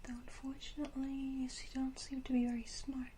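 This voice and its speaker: A woman voicing an elegant, condescending vampire ruler, whispering with teasing, deliberate menace in an ASMR roleplay. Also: high-pitched, completely flat delivery, mellow, a little hiss or hum, natural voice. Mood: amused, condescending.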